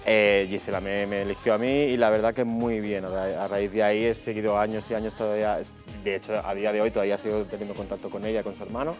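A man's voice: on the low side, little pitch movement, fast, hardly any pauses, faint music behind. A man, chatting as a guest in a TV studio, repeating words fondly as he talks. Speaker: guest singer. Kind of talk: casual interview talk. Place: TV studio set.

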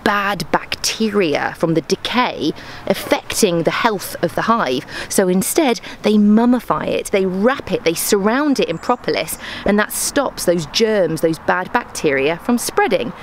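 A woman's voice: medium pitch, lively intonation, measured pace, a little hiss or hum. A young woman hosting a casual outdoor vlog in a garden, explaining to viewers in a chatty, upbeat way. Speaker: YouTube host. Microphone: handheld camera close up through a bee veil. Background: background music.

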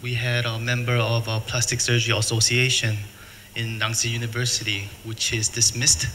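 A middle-aged man from the United States presenting at a seminar, speaking plain, halting English and repeating words. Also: mid-range voice, monotone, pushed and bright. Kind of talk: conference presentation. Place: hotel function room. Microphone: table mic, filmed from afar. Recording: reverberant hall, faint noise.

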